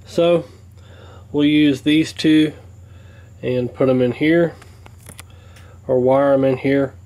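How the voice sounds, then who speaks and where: A man's voice: medium pitch, fairly even intonation, slow pace, warm tone. A man giving a casual, hands-on repair walkthrough in a folksy, colloquial way, thinking aloud as he goes. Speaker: radio repair hobbyist presenting.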